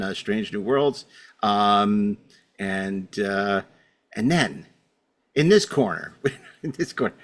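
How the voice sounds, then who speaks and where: A middle-aged man with a mid-range voice hosts a casual podcast. He wanders through his introductions with wry asides and pokes fun at himself as he goes. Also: medium pitch. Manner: rambling, wry, self-deprecating. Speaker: podcast host.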